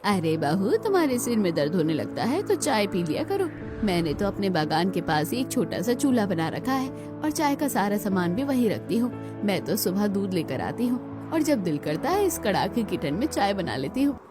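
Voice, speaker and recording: A woman's voice: medium pitch, sing-song, normal speed, lightly acted. A woman voicing an older village mother-in-law, proud, practical and kindly, in a cartoon story. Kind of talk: scripted cartoon story dialogue. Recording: music behind the voice.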